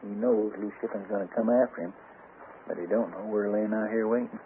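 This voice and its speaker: A plain-spoken western deputy acted by a man in a radio drama. His voice is on the low side, with a folksy, questioning drawl. The vintage recording is phone-thin with slight hiss. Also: fairly even intonation, fairly quick, natural voice. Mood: hushed curiosity. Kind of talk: old-time radio western acting. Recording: noisy, tinny old-time radio recording.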